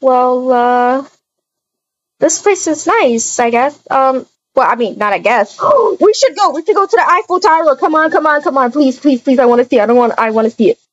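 A woman voicing a bubbly, excitable young woman in a voiced game roleplay, gushing and pleading on a dull lo-fi mic. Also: sits high, moderate pace. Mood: eager.

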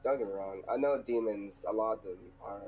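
A man's voice: lowish voice, a little fast, a little mellow, lightly acted, rough recording. A man playing a worried, peace-seeking demon in an improvised anime game roleplay, hesitant and subdued. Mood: hesitant, troubled. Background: faint music.

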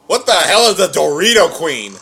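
A young man giving excited, exclamatory reaction commentary, recorded on a webcam in a living room. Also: on the high side, normal speed, vocal fry, slightly rough. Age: young adult. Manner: animated, exclamatory. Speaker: reaction video host. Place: living room, seated on a couch.

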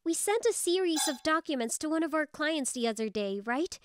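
A woman's voice: sits high, lively intonation, fairly quick, no room sound. A woman playing a friendly, supportive young female office coworker in a manga video dub. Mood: concerned, insistent. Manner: encouraging, friendly.

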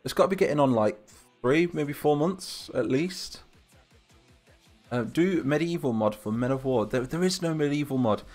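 A man's voice: a little high, animated, moderate pace, a little mellow, soft background music. A man with a Lincolnshire English accent, casually chatting and reading out viewer comments during a live stream while building a game map. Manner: chatty, rambling, reads chat comments aloud.

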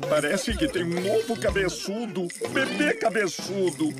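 A gleeful cartoon showman monster with a Brazilian accent. The voice is high and swoops widely, announcing in a drawn-out, mocking, theatrical way. Loud music plays behind it. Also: animated. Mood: cooing. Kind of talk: cartoon dub show-host announcing.

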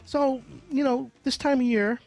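A middle-aged man hosting a radio call-in interview in a small studio, speaking close to a large mic with animated, emphatic storytelling. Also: extremely high pitch, unhurried, vocal fry, low-quality recording. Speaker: radio show host.

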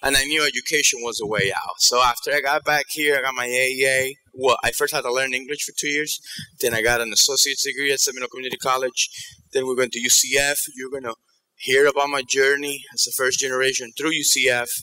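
A man in his forties from New York and Ecuador giving a conference presentation in an informal, halting way, often repeating words. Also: medium pitch, steady tone, bright, forceful tone, low-quality recording. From South Bronx, New York and Ecuador. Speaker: keynote speaker. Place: auditorium stage at a podium.

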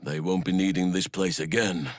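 A low, measured male voice for a solemn elf druid healer, performed by an actor in a fantasy game. He speaks earnestly, with formal, careful phrasing. The sound is dry, with no room on it. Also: fairly even intonation, no echo, natural voice. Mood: calm, earnest. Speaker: video game character voice actor.

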